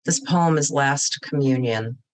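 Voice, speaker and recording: Very slow, solemn woman reading poetry. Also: extremely low pitch. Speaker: poet reading own work.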